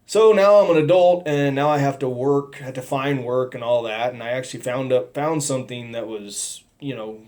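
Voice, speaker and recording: A middle-aged man giving a personal testimony, talking in a halting, rambling way with many restarts and fillers. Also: mid-range voice, a little mellow. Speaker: testimony speaker.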